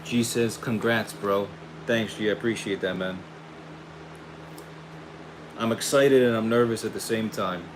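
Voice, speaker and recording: A man hosting a casual livestream and pitching his own hair product, upbeat and friendly, saying he is losing his voice. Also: measured pace, long pauses. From United States. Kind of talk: casual livestream chat, reading viewer questions. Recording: steady air conditioning hum behind.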